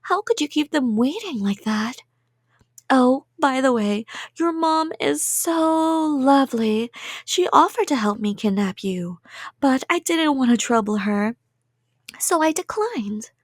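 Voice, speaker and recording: A woman plays a doting, delusional yandere in an ASMR roleplay. Her voice is rather high and very slow, recorded close and dry. She rambles sweetly and playfully, slipping toward whispers, cheerfully obsessed. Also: lively intonation, no echo. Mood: cheerful, unrepentant.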